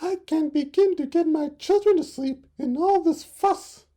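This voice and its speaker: A man voicing a kindly, motherly female dove with a cooing falsetto in a children's storybook. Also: measured pace, warm tone. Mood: fretful.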